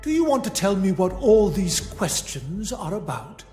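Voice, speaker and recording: A man's voice: high-pitched, sing-song, a little slow, warm tone, roomy sound. A man voicing a blunt, pious older bishop in a video game, haughty and curt in manner. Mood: guarded, indignant. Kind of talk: scripted video game dialogue acting. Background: music.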